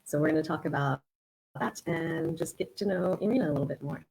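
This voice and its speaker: A woman's voice: sits low, fairly quick, gravelly, darkish tone, breathy edge, low-quality recording. A woman hosting an online interview for her group, upbeat and friendly as she introduces and questions her guest. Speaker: host, group founder. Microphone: video call.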